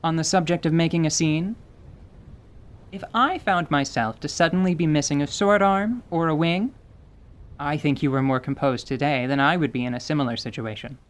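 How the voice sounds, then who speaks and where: A man voicing a composed, considerate female winged warrior who speaks softly in measured, formal phrases for a fantasy audio drama. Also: a little high, close to an everyday voice. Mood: calm, reassuring. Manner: soft-spoken, measured, formal.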